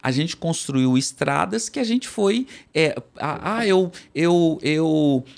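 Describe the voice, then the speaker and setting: A man's voice: fairly high pitch, expressive, slow pace, creaky, a little mellow. A man with a São Paulo accent, a psychoanalyst guest in an interview, explaining with everyday anecdotes and often repeating words as he thinks. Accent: São Paulo Portuguese. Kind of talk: interview conversation.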